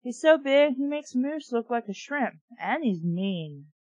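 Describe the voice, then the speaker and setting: A woman's voice: fairly high pitch, lively intonation, measured pace, darkish tone, dry recording, highs cut off. A woman voicing a nervous, pleading child who tells a storybook tale. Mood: fearful. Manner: fearful, pleading.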